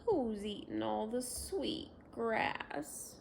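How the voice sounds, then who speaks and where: A woman's voice: a little high, animated, drawn-out words, vocal fry, slight background noise, full character voice. A woman voicing a sleepy, grumbling male farm pig in a children's storybook. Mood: grumbling, indignant. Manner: grumbly, indignant.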